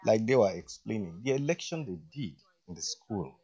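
A man, a deputy head of school, answering interview questions slowly with many fillers. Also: fairly low pitch, lively intonation, warm tone, breathy edge. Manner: slow, halting, with many fillers. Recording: dull lo-fi sound.

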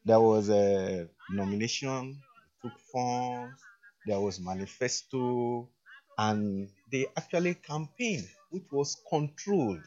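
A school administrator speaks slowly and haltingly, often filling pauses with ums and uhs. His voice sits in a middle register, and the recording sounds dull and lo-fi. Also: medium pitch, lively intonation, dark tone. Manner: slow, halting, with many fillers. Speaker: deputy head of school. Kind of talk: interview answers.

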